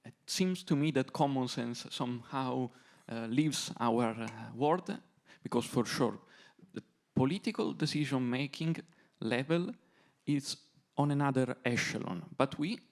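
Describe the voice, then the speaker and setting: A man with an Italian accent, a non-native English speaker, talking on a conference panel in measured, halting phrases. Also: mid-range voice. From Italy.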